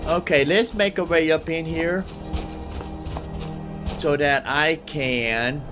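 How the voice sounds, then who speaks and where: A man's voice: on the high side, slow, music in the background. A man giving casual gameplay commentary, muttering asides and questions to himself. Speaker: gaming video host.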